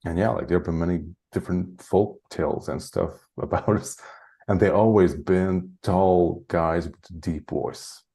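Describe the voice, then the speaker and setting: Man, deep and dark-toned, loose rambling interview guest who chuckles often. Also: measured pace, creaky. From Hungary. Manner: rambling, heavy use of "like", frequent chuckles. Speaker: guest, spiritual channeler. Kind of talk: casual interview chat.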